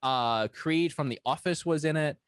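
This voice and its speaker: A man co-hosting a podcast, chatting casually and telling jokey anecdotes in an animated way. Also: on the high side, moderate pace, clean tone, no room sound, clean studio sound. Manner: animated, jokey storytelling with acted-out quotes. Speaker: podcast co-host.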